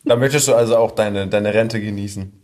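A man from northern Germany with a fairly low voice hosts a casual interview. He chats and puts questions in an informal, conversational way. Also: fairly even intonation, a little fast, a little mellow. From Worpswede, northern Germany. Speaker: podcast host, interviewer.

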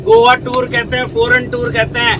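A man projects in a very high, raised voice. He recites like a rallying leader, repeating lines for effect. The recording is noisy, with the rumble of a coach interior around him. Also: monotone, a little fast, sharp tone. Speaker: group leader addressing a tour party. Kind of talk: recited motivational verse to a group. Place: inside a tour coach, standing in the aisle. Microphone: camera a few metres away. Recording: noisy bus interior, heavy vehicle rumble.

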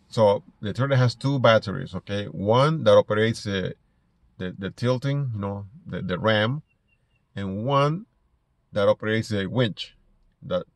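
A middle-aged man from Ecuador with an Ecuadorian Spanish accent, telling stories casually to camera in a halting way, repeating words as he goes. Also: fairly low pitch, no echo. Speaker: small business owner vlogger. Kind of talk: casual personal storytelling to camera. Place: inside a parked car.

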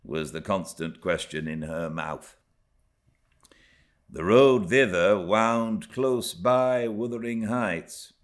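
A middle-aged British man reading classic literature aloud as an audiobook narrator, in a measured, theatrical, expressive style. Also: lowish voice. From Britain.

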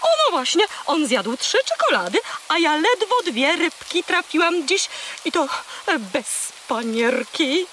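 A woman's voice: sits high, big pitch swings, a little slow, a bit bright, a little hiss or hum, full character voice. A woman voicing a boastful, chatty, hungry female seagull in a children's audio play, haughty and dramatic. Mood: cheeky.

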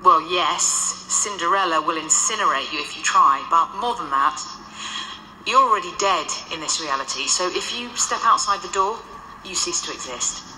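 A sardonic ghostly nun. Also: female voice, lowish voice, normal speed, echoey room, slight background noise, rough recording. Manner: dry, sardonic, explains calmly. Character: ghost of a centuries-old nun, dry and ruthless. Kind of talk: radio drama.

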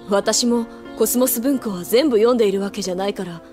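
A woman's voice: medium pitch, fairly quick, darkish tone, soft background music, lightly acted. A woman playing an easygoing, considerate older schoolgirl in an anime audio drama. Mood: relaxed, explanatory.

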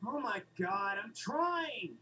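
A woman voicing an excitable cartoon animal in a comic dub, heard as distant playback from a screen. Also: a little high, takes it slowly, exaggerated voice. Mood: agitated.

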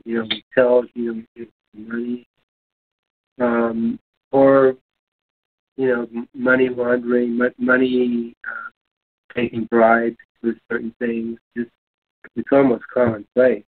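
Hesitant man, flat tone, phone-like sound. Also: medium pitch, measured pace, long pauses. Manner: hesitant, searching for words. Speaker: discussion panelist. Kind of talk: casual discussion. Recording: thin phone-like line.

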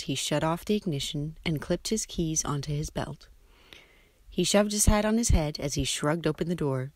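A woman's voice: deep voice, no room sound. A woman reading a scripted short story aloud as a storyteller. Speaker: storyteller, shop assistant presenter.

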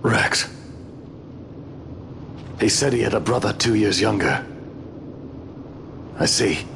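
Gravelly, solemn captain. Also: male voice, on the low side, slow, background noise. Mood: solemn, remorseful. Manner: solemn, formal, weighty. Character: grave, earnest male army captain, wrongly branded traitor. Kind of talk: video game cutscene acting.

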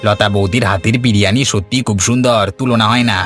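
A man voicing a friendly, curious, approving older regular customer in an animated story. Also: on the low side, fast talker, close to an everyday voice. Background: faint background music.

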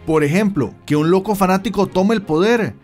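A man's voice: high-pitched, moderate pace, a little mellow, faint music behind, lightly acted. An actor playing a cautious, anxious colonial townsman in a radio drama, deferential.

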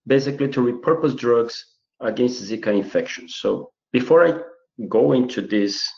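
A man from Brazil giving an unscripted webinar lecture on chemistry research, halting, with many fillers and restarts. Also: medium pitch, creaky, a little mellow. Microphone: computer mic on a video call. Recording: roomy, muffled webinar audio.

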